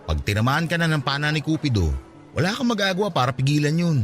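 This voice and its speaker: A man with a Filipino accent playing a cocky but caring young best friend in a radio drama, bantering and expressive. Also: fairly high pitch, fast, soft background music. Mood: encouraging.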